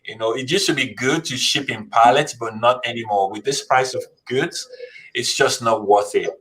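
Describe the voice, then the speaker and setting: A man's voice: mid-range voice, moderate pace, fairly bright tone, low-quality recording. A man, a guest on an interview show, giving practical step-by-step advice on shipping in a casual way. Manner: practical, explains step by step, says "right?" often. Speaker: guest, logistics business founder.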